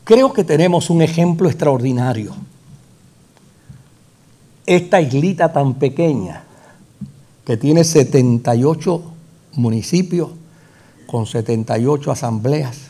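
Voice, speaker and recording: A man's voice: medium pitch, unhurried, long pauses, mellow. A man with a Puerto Rican accent preaching a sermon, building emphatic repetitions and rhetorical questions into fervent peaks. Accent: Puerto Rican Spanish. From Puerto Rico.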